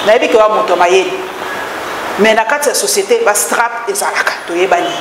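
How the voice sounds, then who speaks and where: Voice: mid-range voice, fairly quick, background noise. Pressing Congolese interviewer in an echoey hall. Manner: pressing, challenging the guest. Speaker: talk-show interviewer. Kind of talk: interview questioning.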